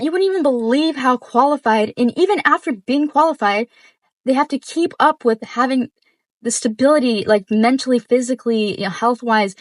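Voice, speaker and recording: A woman's voice: high voice, no echo. A woman giving casual, informal reaction commentary, exclaiming and repeating herself for emphasis. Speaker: reaction video host.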